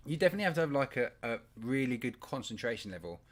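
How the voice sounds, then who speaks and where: Lively, laughing man chatting. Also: medium pitch, a little hiss or hum. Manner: animated storyteller, laughs often. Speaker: podcast co-host. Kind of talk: casual chat. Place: live online show.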